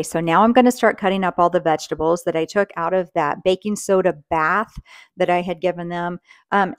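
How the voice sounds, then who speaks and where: A woman explains her food prep in a relaxed, practical way while she works. She is recorded in a home kitchen on a lapel mic. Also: lowish voice. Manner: friendly, practical, talks while working. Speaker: cooking channel host. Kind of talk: casual kitchen how-to.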